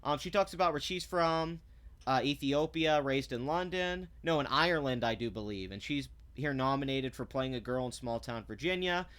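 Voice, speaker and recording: A man's voice: mid-range voice, moderate pace, fairly bright tone, clean tone. A man delivering a sarcastic, blunt commentary rant, swearing freely and stumbling over restarts when he gets heated. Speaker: commentary vlogger. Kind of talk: ranting commentary.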